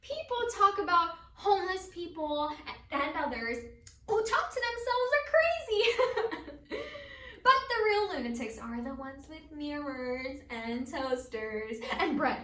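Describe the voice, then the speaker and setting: A young woman acts out an unhinged, lonely woman in a dramatic monologue. Her high voice rambles giddily and swells abruptly into manic giggling. The recording is echoey and distant. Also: big pitch swings, slow pace, bright, forceful tone, exaggerated voice. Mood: manic, giddy. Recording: roomy, echoing, distant microphone.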